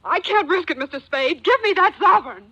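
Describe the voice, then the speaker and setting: An actress in a 1940s radio drama playing a distraught, suspicious woman, shrill and frantic. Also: expressive, fairly quick, bright, forceful tone, no echo, exaggerated voice. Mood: desperate, accusing. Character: distraught, suspicious, desperate young dame with a gun. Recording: muffled, noisy 1940s radio recording.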